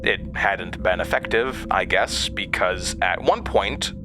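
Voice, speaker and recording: A man's voice: deep voice, takes it slowly, music in the background. An actor playing a haunted, anxious man who narrates his past hesitantly in a horror audio drama. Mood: uneasy, regretful.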